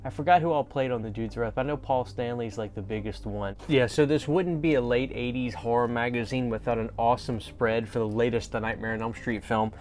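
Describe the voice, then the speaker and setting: A man's voice: medium pitch, a little fast, hardly any pauses, darkish tone. A man hosting a fan video, talking casually and enthusiastically in an informal vlog style. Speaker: host of a horror fan video channel.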